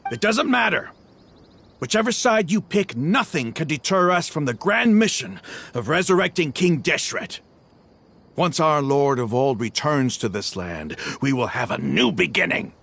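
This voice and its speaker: A man's voice: a little high, big pitch swings, measured pace, a bit bright, slightly rough, exaggerated voice. A man voicing a smug, zealous male desert mercenary, in a game. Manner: smug, mocking.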